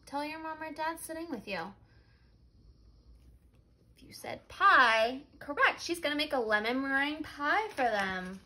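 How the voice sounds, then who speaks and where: A woman teacher reading a story aloud to children in simple phrases, pausing to ask them questions and confirm answers. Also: high voice, bright, forceful tone. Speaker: life skills teacher.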